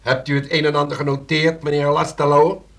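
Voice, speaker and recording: An actor in a Dutch radio play playing a shrewd, deliberate older relative who poses as a police commissioner, speaking with measured authority. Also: male voice, a little high, little pitch movement, fairly bright tone. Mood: stern, assured.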